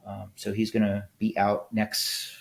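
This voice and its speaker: Gravelly, blunt man in his fifties. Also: on the low side, monotone, a little slow. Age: 50s. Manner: blunt, rambling, frequent restarts and "right?" tags. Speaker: podcast host, military veteran. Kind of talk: casual solo podcast monologue.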